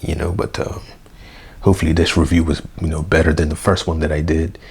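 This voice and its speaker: A young man, hoarse, rambling through a casual unedited review vlog with lots of fillers and slang. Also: very deep voice, level tone, brisk, a little mellow. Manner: rambling, full of fillers like "you know" and "man". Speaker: wrestling review vlogger. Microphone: basic mic, plans a new one.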